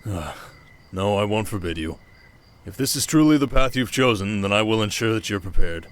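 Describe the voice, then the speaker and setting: A man playing a steady, protective desert king in an ASMR audio drama, speaking in a measured, intimate way. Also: lowish voice, smooth, natural voice. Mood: concerned, tender.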